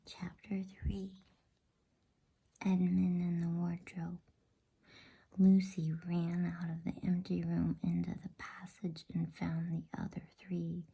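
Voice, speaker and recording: A woman ASMR host in a gentle, unhurried, breathy whisper, reading and chatting for a bedtime story session. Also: fairly low pitch.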